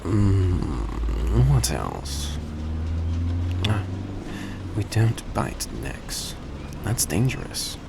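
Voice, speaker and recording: A man playing a courteous, gentle vampire in an intimate audio roleplay, speaking politely and a little hesitantly to the listener. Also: low-pitched, drawn-out words, vocal fry, heavily acted. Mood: explaining, relaxed. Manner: soft-spoken, polite, hesitant, formal phrasing. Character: courteous, gentle male vampire repaying a debt. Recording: steady background noise behind voice.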